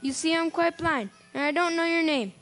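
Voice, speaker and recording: A woman's voice: very high voice, normal speed, a bit bright, voice pushed up. A woman voicing a grumpy, scheming old man who barks and sneers his rhymed lines in a storybook. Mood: falsely sweet. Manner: barking, sneering rhymed delivery. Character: grumpy, scheming old male church-hater.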